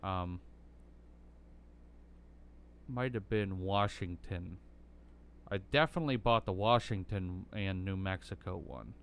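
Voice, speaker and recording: A young American man with a bassy voice, rambling through casual commentary while playing a driving game, full of ums and likes. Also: takes it slowly, pauses often, a bit bright, a little hiss or hum. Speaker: gaming YouTuber.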